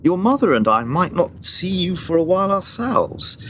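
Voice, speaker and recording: A man's voice: big pitch swings, moderate pace. A man playing a patient, persuasive father in a radio play, reasoning with his child. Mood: patient, coaxing. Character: patient, coaxing middle-aged father persuading his daughter. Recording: loud rumbling vehicle noise behind.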